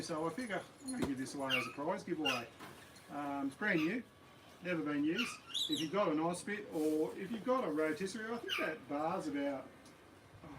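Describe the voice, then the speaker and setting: A man with an Australian accent and a slightly croaky voice, chatting casually and rambling on a live stream from his backyard. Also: on the high side, background noise. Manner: rambling, relaxed, many ums and uhs. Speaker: camping channel host. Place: backyard outdoors.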